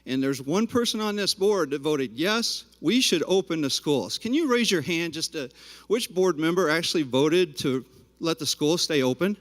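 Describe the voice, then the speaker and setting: Parent at the podium: man with a high voice, combative and pointed, echoing meeting hall. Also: animated, normal speed. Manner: confrontational, addressing board members directly. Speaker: parent giving public comment. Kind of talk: public comment at a school board meeting. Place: school board meeting room. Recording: reverberant hall.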